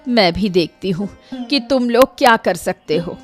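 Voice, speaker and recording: A woman's voice: mid-range voice, lively intonation, a little slow, lightly acted. A woman voicing a grumbling, weary elderly village mother in a Hindi cartoon moral story. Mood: bitter, complaining. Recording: music bed under the voice.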